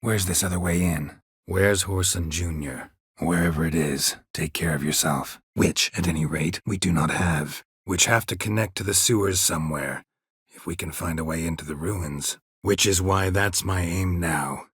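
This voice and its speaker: An actor playing a stoic, world-weary monster hunter in a video game, with a gravelly, husky voice and terse, dry, deadpan delivery. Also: male voice, deep voice, normal speed, dry recording, full character voice. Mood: matter-of-fact.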